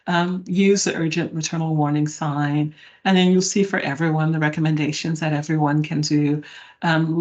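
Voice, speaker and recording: A middle-aged woman giving a webinar talk, conversational and emphatic, often checking in with her listeners. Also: fairly low pitch. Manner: conversational, emphatic, addresses audience with "y'all" and "right?". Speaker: presenter. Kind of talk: webinar presentation.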